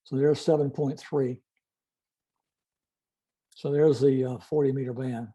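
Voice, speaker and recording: An older man giving an informal technical presentation and demonstration, explaining methodically in a halting way. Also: medium pitch, steady tone, dark tone, breathy edge, lo-fi sound. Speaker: club presenter, retired-style veteran telephony engineer.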